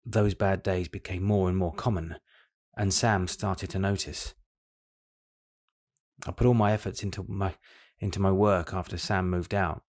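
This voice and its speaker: Casting: middle-aged British husband, dutiful but guilty, measured delivery, slightly low voice, confessional radio drama. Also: male voice, steady tone, darkish tone. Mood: regretful, reflective. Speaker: actor in an audio drama. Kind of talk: confessional audio drama monologue.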